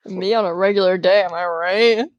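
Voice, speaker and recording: A woman's voice: mid-range voice, steady tone, normal speed, no echo, dull audio. A woman playing a tabletop game, joking in casual banter.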